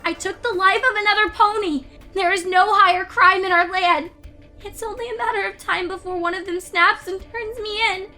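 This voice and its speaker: Paranoid young female pony, very high-pitched, sharp bright tone, anguished, volatile, fan-fiction confession reading, soft music behind. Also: fairly even intonation, moderate pace. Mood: haunted, despairing. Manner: anguished, highly emotional, swinging from hushed to screaming. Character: guilt-ridden, paranoid young female pony student unraveling into madness.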